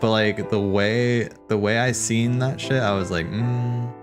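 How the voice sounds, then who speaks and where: A man's voice: fairly low pitch, fairly even intonation, a little slow. A man hosting a casual watch party, rambling and thinking aloud in slangy speech as he comments. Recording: game music playing behind. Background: video game cutscene music.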